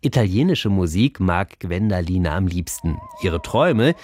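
A man's voice: sits low, sing-song, normal speed, a little mellow, a little hiss or hum. A man presenting and narrating a children's program in a friendly storytelling way. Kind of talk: scripted narration for kids.

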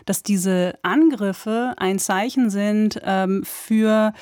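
A woman's voice: mid-range voice, a little mellow, smooth, no room sound. Woman radio host, dry studio sound. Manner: measured questioning with hesitations. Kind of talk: radio interview.

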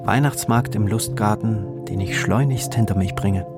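Low-voiced man reading a diary over music. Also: moderate pace. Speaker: reader of a travel diary. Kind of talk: scripted literary narration, audiobook-like diary reading.

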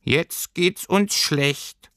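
A man voicing a small fluffy storybook creature, a mournful know-it-all with a squeaky, muffled voice. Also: extremely slow, sharp tone, dry recording, clean studio sound, heavily acted. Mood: sad, disappointed. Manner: pedantic, plaintive. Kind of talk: children's storybook character voice.

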